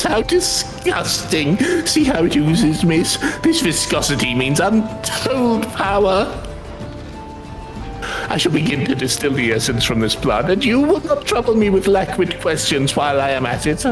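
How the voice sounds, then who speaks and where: A woman's voice: fairly low pitch, big pitch swings, unhurried, music in the background, pitched below the performer's own voice, poor audio. A woman voicing a pompous, menacing male alchemist henchman in a fantasy game, with an abrupt, commanding, theatrical manner. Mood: gloating, obsessive. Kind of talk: acted reading of fantasy game dialogue.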